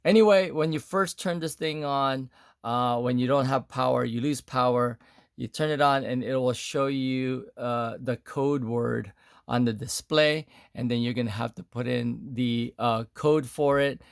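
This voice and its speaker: A man's voice: mid-range voice, fairly even intonation, unhurried, gravelly, smooth, no room sound, clean studio sound. A man giving a casual, unscripted product demonstration, explaining step by step in a hesitant way.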